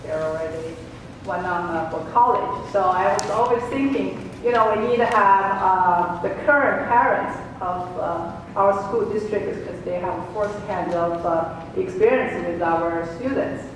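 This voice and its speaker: A woman's voice: mid-range voice, unhurried. A middle-aged woman giving an impromptu thank-you speech, searching for words, with non-native English phrasing. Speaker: departing co-president of a parents association. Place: large room at a community seminar. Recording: very echoey room, distant mic, clear noise.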